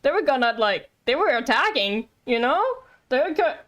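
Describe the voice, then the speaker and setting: High-voiced woman streaming a game. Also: sing-song, fairly bright tone. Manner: rambling, halting, playful. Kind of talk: casual live gameplay commentary.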